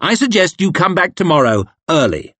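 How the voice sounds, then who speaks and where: A man's voice: sits high, big pitch swings, moderate pace, fairly bright tone, no echo, voice pushed up, full character voice. A man voicing a haughty young male officer who sneers and snaps at people. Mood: angry.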